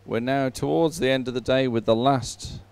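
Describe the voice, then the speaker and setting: Clear-voiced male live sports commentator. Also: mid-range voice, a little mellow. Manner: hesitant, halting phrasing with frequent pauses. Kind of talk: unhurried live race commentary, filling between races.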